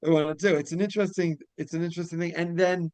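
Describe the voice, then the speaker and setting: A man hosting a casual interview, rambling through halting questions that double back on themselves. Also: fairly high pitch, fairly even intonation, darkish tone, clean tone, dry recording. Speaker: interviewer and show host.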